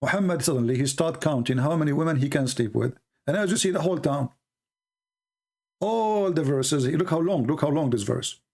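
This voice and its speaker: A man's voice: a little high, animated, brisk, a little mellow. A man whose first language is Arabic, arguing his point insistently in a debate on a call. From Middle East. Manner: argumentative, insistent, repeats points.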